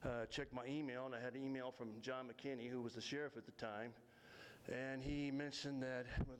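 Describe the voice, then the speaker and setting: A man telling a story live in a folksy, rambling, self-deprecating and halting way. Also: medium pitch, low-quality recording. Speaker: storyteller and local history author. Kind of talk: live tall-tale storytelling to an audience.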